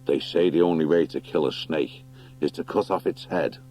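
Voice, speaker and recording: Grave badger warlord for audiobook fantasy, played by a man: low voice, noble, measured, used to command. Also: mellow, soft background music. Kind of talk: audiobook character acting.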